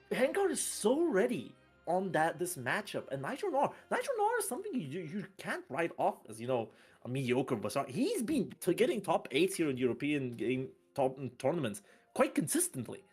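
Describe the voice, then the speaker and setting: A man doing play-by-play commentary on a fighting game, excitable and bursting loud at big plays. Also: fairly high pitch, normal speed, breathy edge, faint music behind. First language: not French. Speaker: esports commentator.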